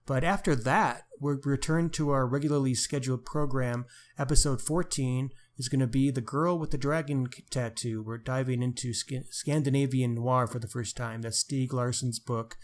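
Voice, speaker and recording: A man's voice: mid-range voice, fairly even intonation, moderate pace, hardly any pauses. A man co-hosting a podcast, talking casually about crime books. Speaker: podcast co-host.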